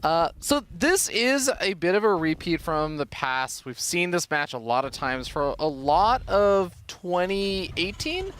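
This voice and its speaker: A man's voice: high voice, very animated, measured pace, hardly any pauses, fairly bright tone, a little hiss or hum. A man doing casual live esports commentary with joking banter between points.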